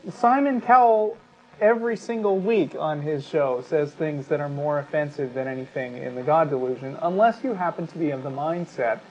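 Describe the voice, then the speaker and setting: A man with a fairly high voice co-hosts a talk show, commenting conversationally and reading passages aloud. The audio is dull and lo-fi, with clear noise behind him. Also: normal speed, a little mellow.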